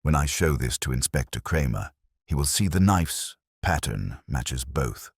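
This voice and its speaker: A man voicing a commanding, shrewd detective in an audiobook, speaking in deliberate, authoritative statements. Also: very deep voice, expressive, husky, no echo, studio quality, exaggerated voice. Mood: accusing, forceful.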